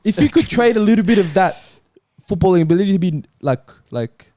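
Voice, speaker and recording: A man with a high voice and swinging, animated intonation jokes around as a football podcast panelist. He speaks casually, with plenty of slang. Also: a little fast. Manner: informal, slangy. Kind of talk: casual chat, joking banter.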